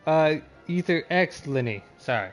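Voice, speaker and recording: Animated high-pitched man reacting to a game. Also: moderate pace, faint music behind. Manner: reactive, profane, often confused. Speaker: video game livestreamer. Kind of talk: casual live game commentary.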